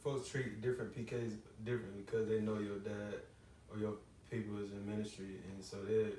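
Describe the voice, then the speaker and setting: Young man, low flat voice, halting, thoughtful, echoey room, distant mic. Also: a little hiss or hum. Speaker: guest. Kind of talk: casual group chat.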